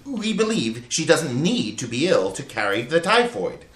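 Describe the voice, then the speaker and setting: Man as formal 1900s male investigator, insistent, precise, lively intonation, radio play, roomy sound. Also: a little high, moderate pace. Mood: firm, polite. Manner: polite, precise, persistent. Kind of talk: old-time radio acting. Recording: roomy, with background noise and clicks.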